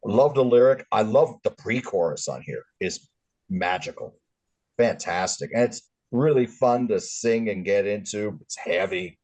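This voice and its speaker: Lower voiced guy gushing about a heavy punk track, laid back. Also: a little slow. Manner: relaxed, fond enthusiasm. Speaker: guest music fan. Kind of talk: casual music discussion.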